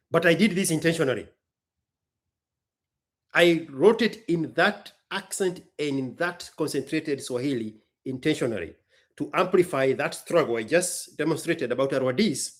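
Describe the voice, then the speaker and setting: A man hosting a live stream, challenging his viewers in a combative way. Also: on the high side. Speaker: livestream host and rapper. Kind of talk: live stream talk mixing rap and opinion.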